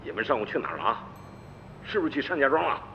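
Gravelly, curt gang boss. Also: male voice, medium pitch, sing-song, rapid-fire, long reverb tail, dull audio, a little hiss or hum. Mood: suspicious. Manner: terse, interrogating. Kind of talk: radio drama acting.

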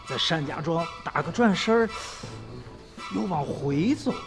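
An actor playing a nervous younger male grave robber in a radio drama, anxious and excitable. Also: a little high, a little slow, music in the background.

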